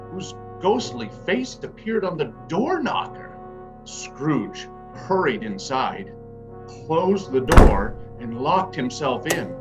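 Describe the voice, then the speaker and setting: A man narrating a scripted story in a deliberate, suspenseful, dramatic way. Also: medium pitch, lo-fi sound.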